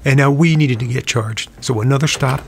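A middle-aged man giving an upbeat, relaxed voice-over narration for his travel vlog. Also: mid-range voice, brisk, gravelly, crowd noise.

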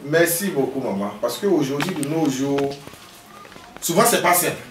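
A man in his fifties speaks earnestly and repeats himself as he talks up his healing work. His voice sits a bit high for a man and sounds set back from the lapel mic. The veranda adds an echo and faint ambience. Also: animated, moderate pace, far from the mic, a little hiss or hum. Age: 50s. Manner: earnest, repetitive, self-promoting. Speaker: traditional healer. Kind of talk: casual interview. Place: outdoor veranda by a house wall.

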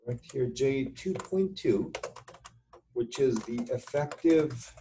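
A middle-aged man giving an informal tutorial as an instructor at his computer, halting and deliberate as he thinks aloud. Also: medium pitch, animated, warm tone, slight background noise. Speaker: structural engineering instructor. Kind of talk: informal tutorial explanation over screen share. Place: indoors at a computer, webcam.

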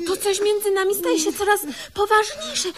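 A woman's voice: extremely high pitch, animated, moderate pace, over a noisy crowd. An actress playing a doting, lovestruck older woman in a comedic radio play, gushing and coaxing in a motherly way. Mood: pleading, ardent.

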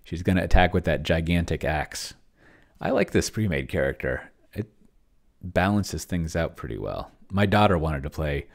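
A man's voice: rumbling low, vocal fry. A middle-aged man giving casual livestream commentary, rambling and thinking aloud as he types. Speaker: host of a solo tabletop game video.

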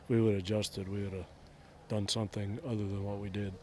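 Blunt middle-aged coach, low flat voice. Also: male voice, fast talker, crowd noise. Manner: blunt, terse, matter-of-fact answers. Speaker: head baseball coach. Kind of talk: postgame press interview. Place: outdoors at a ballpark at night.

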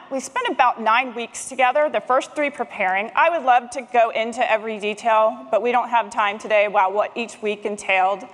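A middle-aged woman speaks from a conference stage in a fairly high voice. She delivers a prepared presentation, and the big hall adds a long reverb around her words. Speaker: conference speaker, technology manager. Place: large conference stage, indoors. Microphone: headset microphone.

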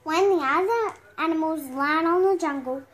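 An eight-year-old girl reading a picture storybook aloud at home, haltingly and word by word, with stumbles. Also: very high voice. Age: child, 8 years old. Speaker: young reader. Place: indoor room at home.

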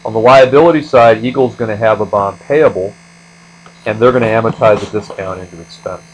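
A man's voice: lowish voice, fairly quick, a little hiss or hum, rough recording. A man giving a step-by-step screencast lecture as an instructor, explaining things methodically. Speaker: accounting instructor.

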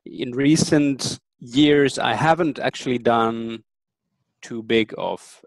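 A man in his thirties, a native German speaker, giving earnest, thoughtful interview answers with frequent pauses and ums. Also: mid-range voice, creaky, dry recording, low-quality recording. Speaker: interview guest.

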